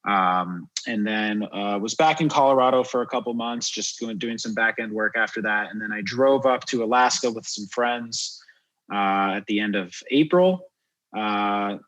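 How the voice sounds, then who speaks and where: A man's voice: fairly low pitch, fairly bright tone, low-quality recording. A man from Miami, born in France, chatting informally and reflectively as an interview guest. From born in France, grew up in Miami, USA. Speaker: podcast guest, wildlife photographer and guide. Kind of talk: casual interview chat.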